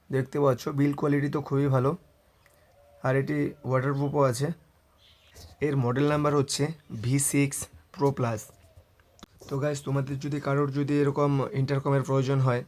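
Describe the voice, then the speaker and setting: A man's voice: medium pitch, darkish tone. A man narrating a vlog voice-over, mixing in English words. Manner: mixes English words into speech. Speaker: motorbike vlogger.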